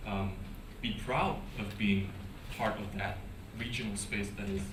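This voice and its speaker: Low-voiced guy on a panel, lots of ums, echoey room, sounds far off. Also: steady tone, measured pace. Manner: hesitant, many fillers and restarts. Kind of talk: panel discussion. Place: conference hall. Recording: echoey conference hall from a distance, background noise.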